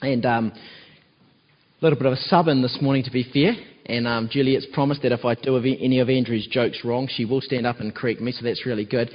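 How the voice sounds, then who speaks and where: Croaky New man preaching, reverberant hall. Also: medium pitch, steady tone, fairly quick. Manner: chatty, with many ums and tag questions. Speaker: lay preacher standing in for the pastor. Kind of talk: casual church sermon. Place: church hall. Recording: dull recording with long church hall reverb.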